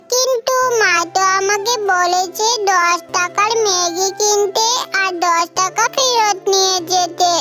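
A performer voicing a pleading little girl fox cub in a children's cartoon, with drawn-out, wheedling delivery. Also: very high-pitched. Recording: loud music under the voice. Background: loud children's music.

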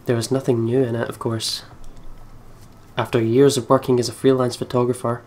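A man's voice: mid-range voice, flat delivery, fairly quick, a little mellow. Man reading a story aloud. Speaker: let's play co-host reading aloud. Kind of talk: expressive read-aloud of visual novel narration.

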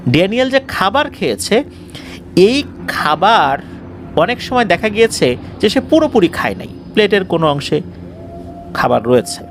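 A man's voice: a little high, a little hiss or hum. A man hosting a horror show, telling a story to listeners in a dramatic, emphatic, suspenseful manner.

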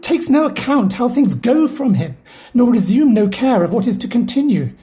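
Woman as a mellow-voiced, fretting Shakespearean steward. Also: on the high side, sing-song, normal speed. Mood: exasperated, worried. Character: worried, exasperated household steward fretting over debts. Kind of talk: unrehearsed reading of a Shakespeare play.

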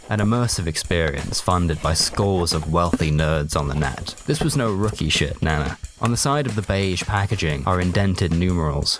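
A man narrating a first-person comic short story in a dry, colloquial, sardonic way. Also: sits low. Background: music.

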